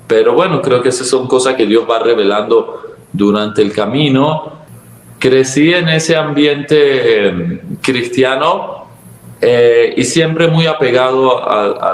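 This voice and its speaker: Venezuelan pastor guy, 30s, earnest testimony, echoey room sound. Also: medium pitch. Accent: Venezuelan Spanish. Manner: earnest, reflective, preacherly. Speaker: pastor, guest. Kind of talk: testimony interview.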